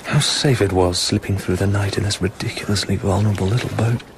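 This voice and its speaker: An actor playing a cool, suave British secret agent in a radio drama, understated and brooding. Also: male voice, fairly low pitch, steady tone, brisk, background noise, lightly acted.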